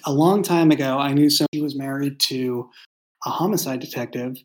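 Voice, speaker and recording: A man speaking casually in an interview as a writer, thoughtful and halting, often repeating words as he goes. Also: mid-range voice, a little mellow. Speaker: writer and creator of an audio drama. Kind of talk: casual behind-the-scenes interview.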